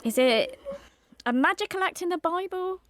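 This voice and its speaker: A woman's voice: extremely high pitch, expressive, normal speed. A woman playing a prim, naive and nosy young penitent, earnest in tone, in a period audio drama. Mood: eager. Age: young adult. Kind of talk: period audio drama acting, narration and dialogue.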